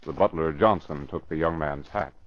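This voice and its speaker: An actor as a formal narrator reading scripted storytelling for a vintage radio mystery drama. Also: male voice, rumbling low, normal speed. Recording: muffled old radio recording. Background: music.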